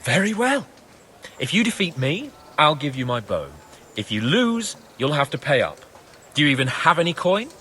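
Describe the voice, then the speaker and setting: A man's voice: on the high side, big pitch swings, normal speed, bright, forceful tone, slight background noise. A man playing a haughty, sneering young medieval nobleman in a video game, with a condescending, taunting manner. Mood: challenging. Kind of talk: video game cutscene acting. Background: faint background music.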